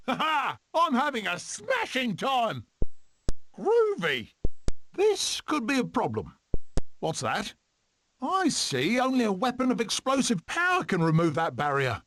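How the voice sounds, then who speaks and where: Manic weasel adventurer, wildly animated. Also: male voice, very high voice, a little hiss or hum, exaggerated voice. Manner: theatrical, eccentric, exclamatory. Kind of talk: video game character voice clips.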